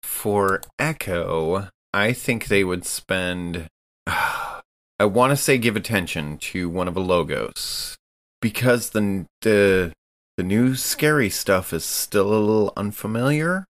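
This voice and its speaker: A man playing a tabletop game, casually improvising a description of what his character does, haltingly, searching for words. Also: on the low side, expressive, fairly bright tone.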